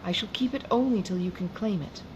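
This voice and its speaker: A woman reading an audiobook, voicing an earnest young gentleman who speaks low and slowly, half in a whisper. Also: close to an everyday voice. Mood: tender. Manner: hushed, slow, half-whispered. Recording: noisy background hiss.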